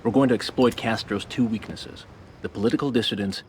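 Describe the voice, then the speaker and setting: Low-voiced, calculating American CIA schemer, acted by a man. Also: slight background noise, lightly acted. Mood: confident scheming. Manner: measured, persuasive, matter-of-fact. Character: calculating middle-aged male CIA agent, smooth schemer. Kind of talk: cinematic video game acting.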